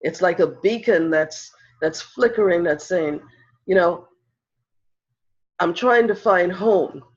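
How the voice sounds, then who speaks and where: Nasal man with a cold, preaching. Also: high-pitched. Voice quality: slightly nasal. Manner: earnest, repeating rhetorical questions. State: has a head cold. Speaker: spiritual teacher. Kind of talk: inspirational spiritual talk. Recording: dull lo-fi recording.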